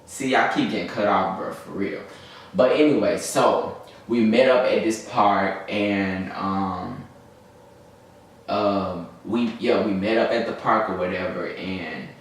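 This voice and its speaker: A man's voice: sits low, slow. A young man with a Southern US accent chats casually to camera as a vlogger, in a slangy, rambling, easily distracted way. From Birmingham, Alabama, United States. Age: young adult. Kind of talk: casual story-time chat to camera. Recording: very echoey room, distant mic, faint noise.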